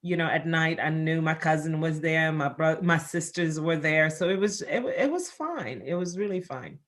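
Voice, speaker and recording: A woman's voice: low voice, expressive, normal speed. Middle-aged woman guest, born in Congo and raised in Belgium, chatty with many fillers. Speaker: interview guest. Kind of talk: casual interview answers.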